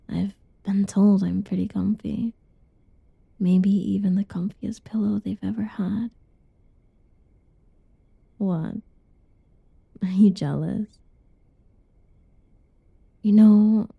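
Whispering woman, slow and husky. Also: mid-range voice, dry recording, narrow, tinny audio. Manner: soft whispering, teasing and cuddly; playful giggles between lines. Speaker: roleplay narrator. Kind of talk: ASMR roleplay.

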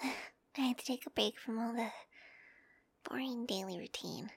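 A young woman with a high, light voice speaks in a soft, giggly whisper, as if talking straight to the listener in an audio roleplay. She takes her time, drawing out her words slowly, close to the mic in a dry, dead room. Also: vocal fry, slightly rough, no echo. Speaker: roleplay performer.

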